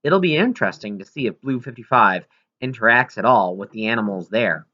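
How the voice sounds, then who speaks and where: A man's voice: mid-range voice, lively intonation, a little fast, pushed and bright, lo-fi sound. A man reading aloud as a considerate female researcher, friendly and explanatory, in an audiobook. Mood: friendly, apologetic. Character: considerate female whale researcher writing an apologetic letter.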